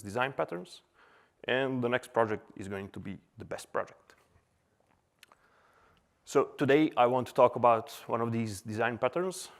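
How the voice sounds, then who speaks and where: A man in his thirties from Serbia giving a casual, chatty conference talk with many filler words, on a stage mic. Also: mid-range voice. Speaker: conference speaker. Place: conference hall.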